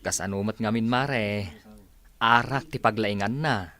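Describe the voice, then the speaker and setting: A man's voice: lowish voice, a little slow, pushed and bright. A man playing a concerned, chatty village neighbor in a radio drama, given to muttering. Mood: sympathetic. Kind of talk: Ilocano comedy radio play acting. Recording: clearly noisy, insect chirps behind.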